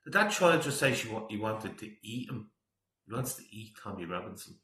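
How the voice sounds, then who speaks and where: Low-voiced man commentating in an echoey room. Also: animated, brisk, pushed and bright. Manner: opinionated, disapproving. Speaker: reaction video host. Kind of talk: casual off-the-cuff commentary. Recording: roomy, echoey sound.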